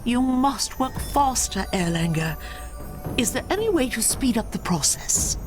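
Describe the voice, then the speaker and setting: A woman voicing a composed, resolute female elf heroine in a video game, measured and brief. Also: fairly high pitch, very animated, music in the background. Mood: determined. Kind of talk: video game cutscene voice acting.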